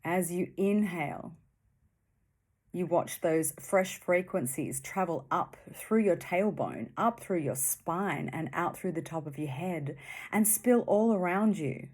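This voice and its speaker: Very slow, low-pitched woman guiding meditation. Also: lively intonation. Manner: soothing, gentle, with long pauses between short phrases. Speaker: meditation guide. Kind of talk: guided meditation.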